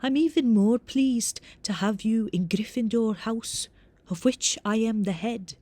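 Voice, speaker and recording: A woman's voice: fairly high pitch, dark tone, pitched above the performer's own voice. A woman voicing a stern, formal older female teacher with a reedy Scottish accent in an audiobook. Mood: formal, welcoming. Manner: prim, measured, formal.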